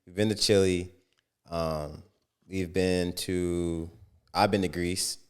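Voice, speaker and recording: A young American man co-hosting a podcast, talking in a lively, casual, slangy way. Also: deep voice, slow pace, clean tone. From United States. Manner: animated, conversational, slangy. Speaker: podcast co-host.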